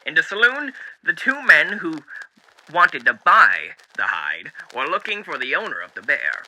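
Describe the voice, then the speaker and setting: Dapper creepy radio demon storyteller, sharp bright voice thru radio filter, swoops up and down. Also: male voice, a little high, big pitch swings, exaggerated voice, low-quality recording. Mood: ominous relish. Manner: theatrical, deliberate, with hushed dips and swells. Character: dapper sinister male radio demon, theatrically relishing grim tales. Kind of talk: dramatic book reading as old-time radio host.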